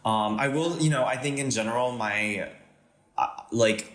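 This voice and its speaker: A man's voice: mid-range voice, a little slow, fairly bright tone, roomy sound. A young man from Panama with an American, Californian accent, speaking as a guest in a casual conversation, hesitant with many fillers and restarts.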